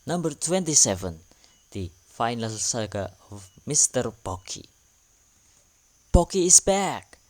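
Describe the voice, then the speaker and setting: A man's voice: mid-range voice, very animated, slow, darkish tone. A man narrating a drama recap in plain, matter-of-fact, broken English. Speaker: channel narrator.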